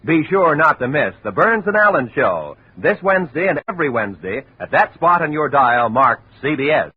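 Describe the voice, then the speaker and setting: A man's voice: a little high, moderate pace. A man as radio announcer, delivering a promo in a 1950s broadcast style. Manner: bright, lively announcer delivery. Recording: tinny, hissy 1950 radio audio.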